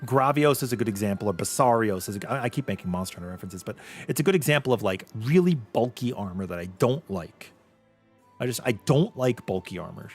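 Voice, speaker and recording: Lively light-voiced man streaming. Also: husky, soft background music. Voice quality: light voice he says is mistaken for a girl's. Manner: animated, chatty, swooping pitch, quick laughs. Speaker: VTuber streamer. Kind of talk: casual livestream chat with viewers.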